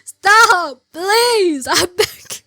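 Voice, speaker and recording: A woman giving casual, expressive, rambling reaction commentary over a video game. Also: very high voice, extremely slow, dry recording, clean studio sound. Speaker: gameplay streamer, commentator.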